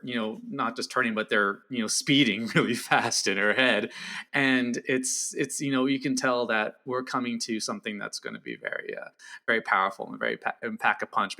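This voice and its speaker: A man with a bright, forward voice at a middle pitch discusses a film casually. He speaks haltingly, feeling for his words, with an agreeable manner. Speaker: podcast co-discussant.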